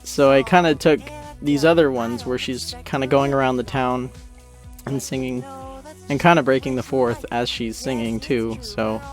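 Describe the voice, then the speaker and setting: A man giving a casual, rambling and self-deprecating commentary on an animated series he made. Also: medium pitch, normal speed, faint music behind. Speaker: creator of an animated parody series, commentary host. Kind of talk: casual commentary track chat.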